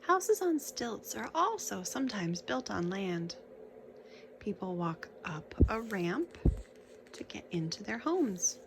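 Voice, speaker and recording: A woman reads aloud to young children at a slow, measured pace, in a mid-pitched voice. She is instructive, moving between the book's text and casual questions that point out the pictures. Clear background noise runs through the recording. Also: big pitch swings, low-quality recording. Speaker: children's picture book reader.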